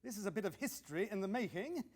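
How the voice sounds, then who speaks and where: A man's voice: sits high, sing-song, fast talker, slightly rough, heavily acted. A man playing an enthusiastic, visionary 1920s wireless company man in a radio play, speaking emphatically and declaiming. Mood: earnest, excited. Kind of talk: radio drama acting.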